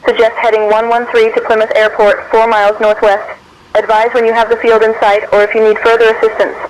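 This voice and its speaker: A woman's voice: on the high side, completely flat delivery, brisk, rough recording. A synthetic woman's voice playing an unruffled air traffic controller, giving formal instructions over a radio. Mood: composed, formal.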